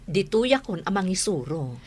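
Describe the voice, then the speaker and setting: A woman playing a gentle mother and teacher in a radio drama, speaking in an encouraging way. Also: lowish voice, sing-song, moderate pace.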